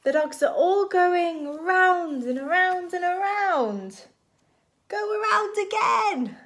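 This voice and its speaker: A woman reading a picture book aloud to young children in an expressive, deliberate storytime style. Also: very high voice. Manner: expressive, animated, deliberate phrasing for small children.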